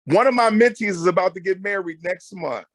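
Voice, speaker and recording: A loud, emphatic middle-aged man giving animated, conversational advice as a guest counselor, often repeating phrases to drive them home. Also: high-pitched, a little fast, dry recording. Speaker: guest marriage counselor and mentor.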